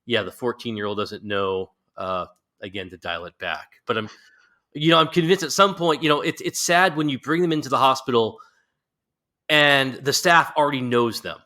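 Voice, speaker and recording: A man hosts a casual podcast interview with a bright, forward voice at a middle pitch. He talks informally and bluntly, with a self-deprecating streak, as he chats with his guest. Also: normal speed.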